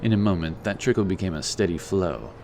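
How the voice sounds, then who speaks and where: Low-voiced man narrating fantasy. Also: expressive, fairly quick, darkish tone, a little hiss or hum. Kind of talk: scripted fantasy audiobook narration.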